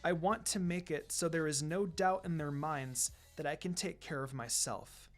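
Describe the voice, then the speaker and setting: A man's voice: on the high side, moderate pace, lightly acted. A man voicing a shy, earnest young girl ninja who speaks hesitantly and politely in a story reading. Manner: hesitant, soft-spoken, polite. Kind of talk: fan fiction reading with character voices. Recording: music behind the voice.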